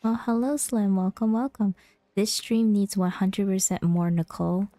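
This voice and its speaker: A woman livestreaming a video game in casual chat. Her voice is mellow with plenty of fry, recorded dry and close over faint music. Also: medium pitch, moderate pace, no echo. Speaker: game streamer.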